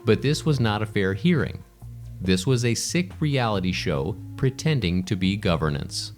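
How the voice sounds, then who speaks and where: A man's voice: fairly low pitch, animated, moderate pace. A man reading scripted news narration as a newsreader. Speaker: news reader. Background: background music.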